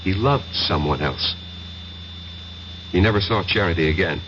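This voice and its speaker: A haunted male professor, tells his story with theatrical intensity. His low voice rises and plunges dramatically through the muffled hiss of an old 1970s radio drama broadcast. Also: expressive, normal speed. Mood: intense, haunted. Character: haunted male professor confessing a past life as soldier.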